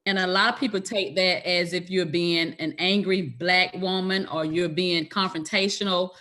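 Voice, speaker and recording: A woman's voice: on the low side, fairly even intonation, moderate pace, fairly bright tone. A woman with an authoritative, firm way of speaking, in a book club discussion on a video call. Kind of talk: casual group discussion.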